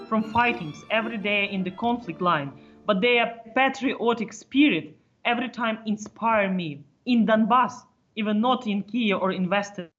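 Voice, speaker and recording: A woman with a Ukrainian accent speaks earnestly in halting English. The recording is slightly dull, with faint music in the background. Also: mid-range voice, a bit bright. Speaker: panel guest.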